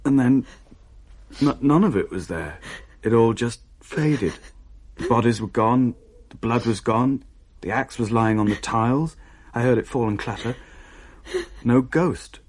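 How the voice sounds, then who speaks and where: A man's voice: mid-range voice, unhurried, darkish tone, close to an everyday voice. An actor playing a shaken man who recounts a horrifying haunting in a dramatic radio play. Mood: horrified, haunted. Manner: hesitant, haunted, dramatic storytelling. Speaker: narrator and character in radio play.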